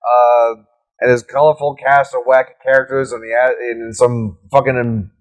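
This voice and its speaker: A man's voice: medium pitch, poor audio. A young adult man co-hosting a podcast in casual chat, crude and joking, with frequent swearing. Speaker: podcast co-host.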